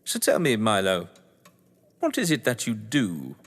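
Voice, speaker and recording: An actor playing a suave, sardonic upper-class English novelist, wordy and condescending, in a theatrical audio drama. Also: male voice, lowish voice, huge pitch swings, a little slow, slightly rough, heavily acted. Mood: mocking politeness. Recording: echoey hall-like reverb.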